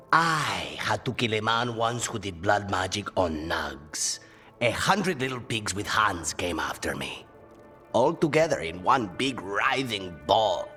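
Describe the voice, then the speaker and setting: An actor playing a droll adventurer who tells stories dramatically and deliberately in video game dialogue. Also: male voice, on the low side, sharp tone, full character voice. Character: droll male adventurer recounting grotesque jobs. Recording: faint music under dialogue.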